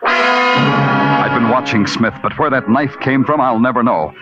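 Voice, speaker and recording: A man's voice: medium pitch, big pitch swings, a little slow. A man playing a wry, world-weary police detective in a 1950s radio noir, with clipped, dry, poetic phrasing. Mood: tense narrating. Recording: dull vintage radio recording with music.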